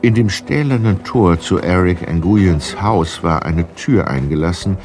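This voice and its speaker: An actor as the narrator of a detective audio drama for young listeners, telling the story in measured, articulate sentences. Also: male voice, low voice, a little mellow, heavily acted.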